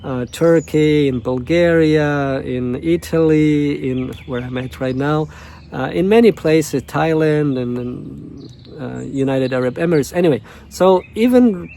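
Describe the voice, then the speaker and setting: Soft-voiced man with a tongue. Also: on the high side, slight background noise. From Russia, Novosibirsk. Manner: halting, many fillers and restarts. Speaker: coach. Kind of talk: casual self-introduction monologue.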